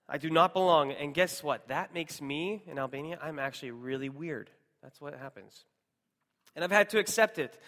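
High-voiced American preacher in a reverberant hall. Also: male voice, bright, forceful tone. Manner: emphatic, rhetorical repetition. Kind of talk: sermon to a congregation.

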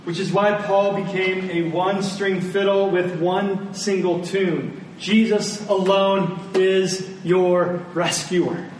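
A roomy, slightly noisy recording of a man delivering a sermon. He is a pastor with a high-set voice, earnest and emphatic, repeating his key phrases for weight. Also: steady tone, slow, hardly any pauses. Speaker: pastor preaching to his church. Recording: roomy, distant mic, faint noise.

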